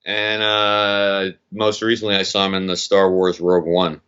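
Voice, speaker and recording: Wry lower-voiced podcast co-host, lo-fi. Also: male voice, completely flat delivery, a little slow, fairly bright tone, clear, resonant tone. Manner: dry, wry quips. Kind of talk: casual film review chat.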